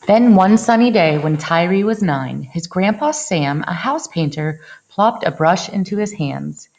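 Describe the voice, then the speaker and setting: Female storyteller for picture-book narration, lowish pitch, very slow pace, expressive and dramatic phrasing. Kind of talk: expressive children's read-aloud narration.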